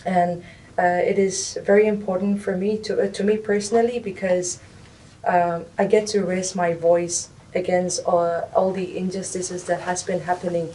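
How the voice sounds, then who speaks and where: A woman's voice: little pitch movement, normal speed, dark tone. A young woman from Nagaland, India, answering interview questions hesitantly, with many fillers and restarts. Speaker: interviewee, recent graduate. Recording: distant mic, faint rumble.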